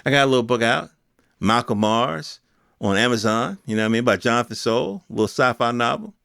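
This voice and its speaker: A man's voice: medium pitch, expressive, brisk, vocal fry, fairly bright tone, no echo, studio quality. An American man hosting a podcast, talking off the cuff in a casual, colloquial opinion monologue full of rhetorical questions. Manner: colloquial, rhetorical questions, mimics imagined speakers. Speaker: podcast host.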